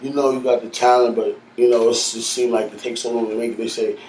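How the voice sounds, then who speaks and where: A man's voice: medium pitch, flat delivery, fast talker, darkish tone. A man, a rapper speaking in casual street slang and often repeating himself. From New York City. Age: 30s. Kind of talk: casual appeal to camera. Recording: echoey room from far off with faint noise.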